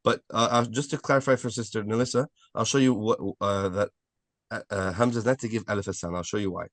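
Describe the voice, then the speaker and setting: Low-voiced man teaching a lesson. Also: fairly even intonation, fast talker, no echo. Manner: repetitive, checks understanding with frequent "right?". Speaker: Arabic language teacher. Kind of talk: live online beginner lesson, explaining step by step.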